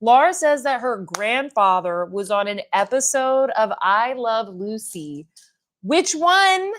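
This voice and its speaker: A woman's voice: a little high, very animated, slow pace, fairly bright tone. A woman in her thirties hosting a beauty livestream at home. She chats casually, reads out viewer comments and rambles with lots of "like" fillers. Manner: chatty and rambling, with frequent "like" fillers. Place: at home doing makeup in front of a mirror.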